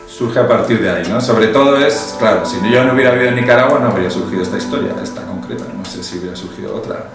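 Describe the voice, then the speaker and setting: Man in his fifties, echoey. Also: on the low side. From Basque Country, Spain. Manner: thoughtful, many restarts, ends points with tag questions. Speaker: novelist and journalist, interview guest. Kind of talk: interview answers about his novel. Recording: very echoey room, voice from a distance, music behind.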